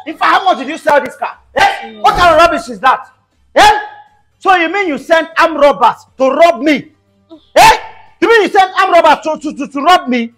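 A man's voice: sits high, fairly bright tone, exaggerated voice. A man playing a blustering husband in a comedy skit, stammering and tacking "eh?" onto his lines. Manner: tag-question "eh?" after nearly every line, stammers. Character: blustering husband caught in a lie.

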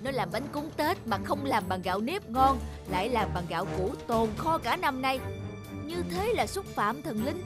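A woman's voice: sits high, lively intonation, normal speed. A spiteful middle-aged wife in a cartoon folktale. She nags, sneers and accuses with scheming malice, over faint music. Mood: accusing.

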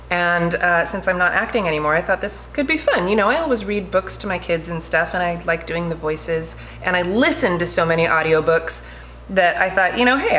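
A woman in her thirties chatting casually through a product review vlog at home, animated and talking with her hands, recorded by a camera a little way off. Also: on the low side, slight background noise. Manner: chatty, animated, gestures a lot. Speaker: lifestyle vlogger. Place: home room with shelves, indoors. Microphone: desk camera at mid distance.

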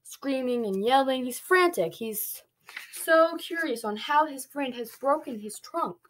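A woman's voice: high-pitched, lively intonation, measured pace, fairly bright tone. A young woman reading a picture book aloud to small children, stopping to explain and ask them questions. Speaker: preschool read-along storyteller. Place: small dim bedroom, indoors.

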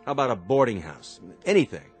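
A man's voice: medium pitch, big pitch swings, fast talker, close to an everyday voice. A man acting in a radio drama as a matter-of-fact junior state trooper. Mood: businesslike. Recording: music clearly behind the voice.

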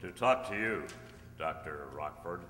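A man playing a calm, upright masked cowboy hero with measured, formal delivery, in old-time radio style on stage. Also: rumbling low, heavily acted. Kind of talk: old-time radio drama acting read from script. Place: stage in a community hall. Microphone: stand microphone. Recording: echoey hall, humming background noise.

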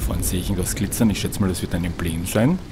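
A man, a little hoarse, calmly musing as he comments on his own video game play. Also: low voice, fast talker, noisy background. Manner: calm, musing, talks to the viewer. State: a bit hoarse. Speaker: let's play host. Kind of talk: gameplay commentary.

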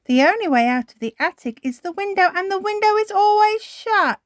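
A woman's voice: extremely high pitch, animated, brisk, no echo, studio quality, voice pushed up. A woman voicing a caring old grandmother pig who fusses and reassures, in a storybook reading. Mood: fussing.

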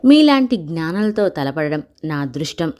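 A woman voicing a clever male court jester who poses as a scholar in a children's story, calm, courteous and slyly flattering. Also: deep voice, normal speed, background noise.

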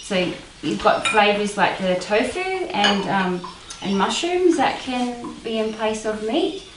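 Woman, thirties, relaxed home-cook demo, roomy kitchen, dish clatter behind. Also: medium pitch, slow pace, distant mic, noisy background. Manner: hesitant, many ums, relaxed. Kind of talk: casual cooking demonstration. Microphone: far camera mic. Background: clattering dishes and cutlery.

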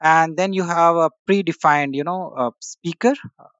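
A man's voice: a little high, normal speed, fairly bright tone, no echo, highs cut off. A man hosting a casual screen-share tech tutorial, talking with many "uh" and "you know" fillers. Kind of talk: screen-share software walkthrough.

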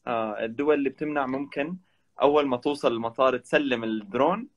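A young man, a travel vlogger guesting on a live video call from indoors, telling stories in a relaxed, animated way. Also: mid-range voice, fast, muffled recording. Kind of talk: casual live chat. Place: indoor room. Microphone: video call, webcam.

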